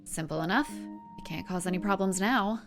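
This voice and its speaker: A woman's voice: lowish voice, moderate pace, soft background music, close to an everyday voice. A woman acting a fiery, bossy superheroine in an audio drama, feisty and taunting. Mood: smug.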